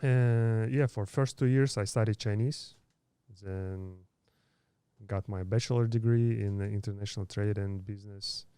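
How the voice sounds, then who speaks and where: Low mellow-voiced man in his thirties. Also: smooth, no echo. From Kazakhstan. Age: 30s. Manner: halting, many fillers and restarts. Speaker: podcast guest. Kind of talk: casual interview storytelling.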